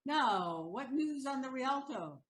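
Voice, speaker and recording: A woman playing a wry, sharp-tongued Venetian townsperson in a Shakespearean audio play, speaking in a stagey, declamatory way. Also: high voice, expressive, slow. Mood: wry, curious.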